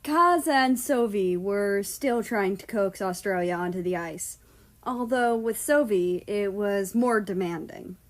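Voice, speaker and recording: A woman's voice: medium pitch, unhurried. Woman reading a story aloud. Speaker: fan fiction narrator. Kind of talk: scripted storybook narration with character dialogue.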